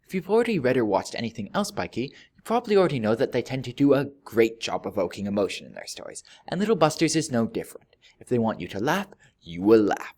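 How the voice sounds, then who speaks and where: Male narrator for a scripted review: highly varied intonation, dry humour, pointed pauses for emphasis. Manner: wry, deadpan joking with emphatic pauses. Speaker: video reviewer.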